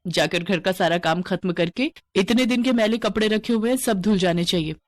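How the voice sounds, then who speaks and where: A woman's voice: mid-range voice, normal speed, no echo, studio quality, lightly acted. A woman voicing a cruel village stepmother in a story, with a sneering, taunting manner. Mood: scornful.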